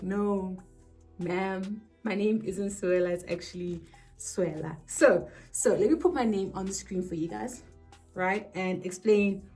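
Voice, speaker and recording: A woman's voice: medium pitch, darkish tone. A woman in her twenties with a South African accent and a non-English first language, chatting casually and playfully to camera, with rambling asides. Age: 20s. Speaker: streamer and vlogger. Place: alone in a bedroom. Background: faint music.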